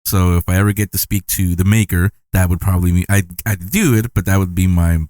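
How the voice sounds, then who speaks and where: A man's voice: low-pitched, steady tone, a little fast, darkish tone, no echo. A man hosting a podcast, asking long, rambling, enthusiastic questions. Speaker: podcast host. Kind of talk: casual interview chat.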